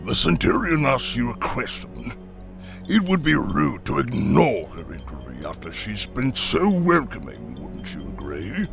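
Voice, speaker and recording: Very gravelly, massive orc grunt. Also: male voice, medium pitch, huge pitch swings, unhurried, fairly bright tone, faint music behind, heavily acted. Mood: politely menacing. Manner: formal, deferential to his commander. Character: massive brutish male orc grunt, menacingly polite subordinate. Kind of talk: audio drama acting.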